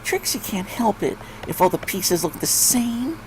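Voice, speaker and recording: A man's voice: sits high, very animated, unhurried, a little mellow, a little hiss or hum, voice pushed up, poor audio. A man voicing a proud, whiny, indignant little pony girl in a storybook reading. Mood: indignant, boastful. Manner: whiny, speaks of self in third person.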